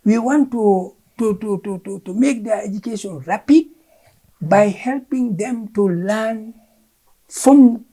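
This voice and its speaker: Gambian woman, Fula native speaker, husky and mellow; an emphatic official explaining policy, repeating words mid-thought. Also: mid-range voice, takes it slowly, rough recording. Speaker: curriculum official, interview guest. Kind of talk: explaining education policy in an interview.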